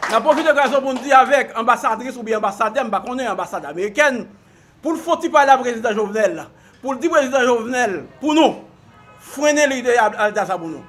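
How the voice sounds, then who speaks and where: Haitian man in a news clip, very high and bright, rousing tone. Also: animated, fast, soft background music. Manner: emphatic, rousing speech.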